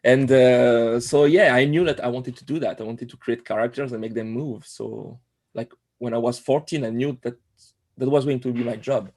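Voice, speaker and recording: A man in his forties from Corsica with a French accent, a guest telling his story in a podcast interview, pausing often with fillers and restarts. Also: mid-range voice, fairly even intonation, a little mellow. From Corsica, France. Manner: hesitant, many fillers and restarts. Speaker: guest, animator.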